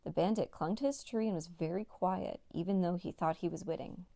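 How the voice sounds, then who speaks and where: A woman's voice: fairly low pitch, brisk, gravelly, warm tone, no room sound. A woman narrating a children's read-along story as a storyteller.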